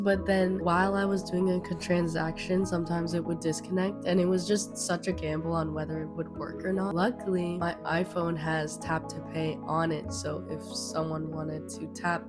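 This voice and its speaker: Chatty young woman vlogging over guitar music. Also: sits low. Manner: chatty and upbeat, heavy use of "like" and "literally". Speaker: small business vlogger. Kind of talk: casual vlog talk.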